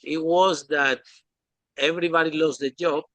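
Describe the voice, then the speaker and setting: A man in his forties hosting a podcast, speaking English as a second language with animated, loose grammar and frequent "okay?" tag questions. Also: a little high, moderate pace, no echo. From lived in London. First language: not English. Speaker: podcast host. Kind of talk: casual interview chat.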